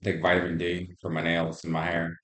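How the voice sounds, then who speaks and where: A man's voice: low-pitched, completely flat delivery, brisk, a bit bright. A man answering health interview questions as a patient, in brief, plain replies. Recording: roomy, echoing voice pickup.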